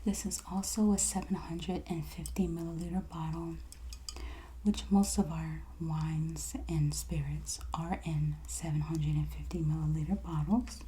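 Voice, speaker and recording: A woman with a low, mellow voice speaks very softly and breathily, often nearly whispering. She plays a merchant in an ASMR roleplay, presenting items gently and very slowly, close to the mic over faint background noise. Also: fairly even intonation. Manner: slow, gentle, unhurried presenting. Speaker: ASMR host playing a wine and spirits merchant. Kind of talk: soft-spoken ASMR roleplay, product showcase.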